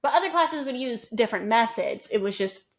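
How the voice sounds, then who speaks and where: A woman's voice: on the high side, animated, a little fast. A woman co-hosting a casual chat show, talking loosely with many ums and likes. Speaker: podcast co-host.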